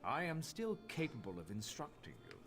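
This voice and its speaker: An actor playing a learned master crafter in a fantasy game, speaking in a formal, measured, instructive manner. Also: male voice, mid-range voice, wildly swooping pitch, fairly bright tone, husky, full character voice. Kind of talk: scripted fantasy game dialogue. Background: game music.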